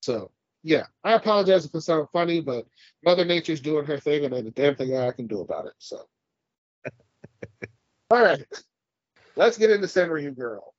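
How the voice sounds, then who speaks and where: A man's voice: on the high side, lively intonation, moderate pace, pauses often, no room sound, dull audio. Congested man chatting about anime. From California. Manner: profane, rambling, laughs often. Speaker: podcast co-host. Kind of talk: casual chat.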